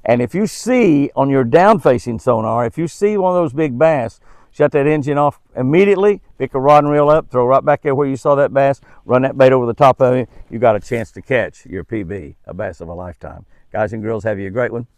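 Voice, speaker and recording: A man's voice: medium pitch, brisk, a little mellow, no room sound. Folksy older man, dry sound. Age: 70s. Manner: folksy, repeats phrases for emphasis. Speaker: host of a devotional and fishing tip show. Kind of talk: folksy devotional talk and fishing tips to camera. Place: outdoors in a wooded park.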